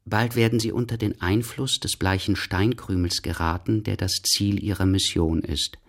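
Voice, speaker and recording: A man narrating a scripted literary radio piece as a measured storyteller. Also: fairly low pitch, steady tone.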